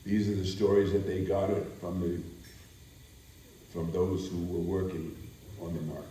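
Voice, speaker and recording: An older man, a stage performer, telling stories and introductions live in a deliberate, theatrical way with pauses. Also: low-pitched, level tone, dark tone, a little hiss or hum. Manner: deliberate, theatrical, pausing between phrases. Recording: very echoey room, distant microphone.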